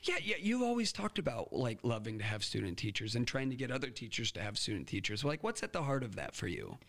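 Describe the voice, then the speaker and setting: A young man hosting a podcast interview, telling stories with lively acted-out quotes. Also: mid-range voice, fast talker. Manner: animated storyteller, acts out quotes. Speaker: podcast host.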